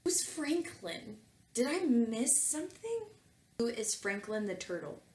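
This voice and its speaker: A woman's voice: fairly high pitch, sing-song, measured pace, a little mellow. A woman talking casually and reading aloud as a comedic host, with wry conversational asides. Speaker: video host and fan fiction reader. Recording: roomy echo with faint background noise.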